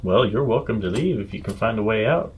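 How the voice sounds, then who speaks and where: A man playing a tabletop role-playing game, talking in character in a measured, dry, reasoning way. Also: lowish voice, lively intonation, a little hiss or hum. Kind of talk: in-character improvised role-play.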